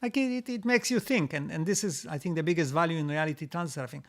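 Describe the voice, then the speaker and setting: A man hosting a live stream, reading out viewer comments and answering them in a halting way, with many restarts and repeated words. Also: a little high. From Israel. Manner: reads out comments, then answers.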